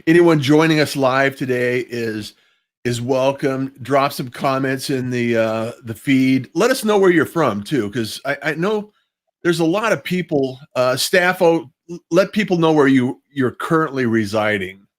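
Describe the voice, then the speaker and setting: A man's voice: mid-range voice, lively intonation, a little slow. A man hosting a casual, chatty live interview online, talking in a rambling, appreciative way. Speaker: host of a livestream interview show. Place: at home, live online video call. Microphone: small personal microphone.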